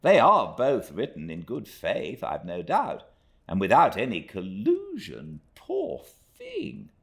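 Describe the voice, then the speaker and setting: A man's voice: medium pitch, wildly swooping pitch, takes it slowly, gravelly, full character voice. Man voicing a kind young guy, thoughtful and unsure, rises and falls a lot, storybook. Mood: puzzled.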